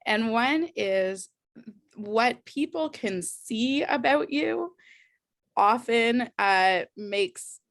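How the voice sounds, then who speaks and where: A woman's voice: a little high, expressive, drawn-out words, fairly bright tone, no echo. A woman facilitating a discussion workshop, explaining ideas thoughtfully with frequent ums and restarts.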